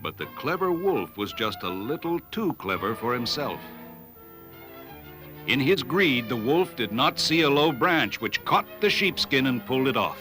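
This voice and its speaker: A man playing a storybook narrator, telling moral fables in a measured, expressive scripted style. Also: mid-range voice, music in the background. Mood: storytelling.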